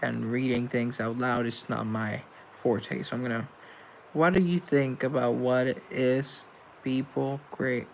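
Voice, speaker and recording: Young male live host with a gravelly voice, earnest and rambling, over faint noise. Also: mid-range voice, steady tone, unhurried, poor audio. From Colombia. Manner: rambling, earnest, with self-deprecating asides. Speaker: live show host answering chat. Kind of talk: casual live-stream chat, reading comments aloud.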